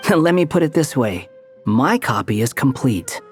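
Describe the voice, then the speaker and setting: Aloof, sardonic young wandering scholar. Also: male voice, medium pitch, sing-song, a little slow, faint music behind. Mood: smug. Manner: dry, haughty. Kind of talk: anime game voice acting.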